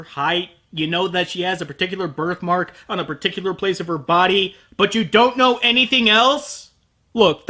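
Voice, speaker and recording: A man's voice: sits high, pushed and bright. A man hosting a comedic anime review, sarcastic and profane, ranting at the show.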